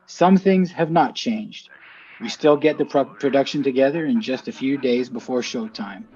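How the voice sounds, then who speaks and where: A man reading a scripted introduction to a program in a measured, articulate presenter's delivery. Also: mid-range voice, darkish tone, breathy edge, muffled recording. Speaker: team representative introducing the show.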